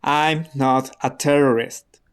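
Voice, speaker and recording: An actor playing a guarded, defiant radical under questioning in a radio drama, terse and defensive. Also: male voice, mid-range voice, steady tone, slow pace, clean tone. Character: guarded, defiant male radical being interrogated.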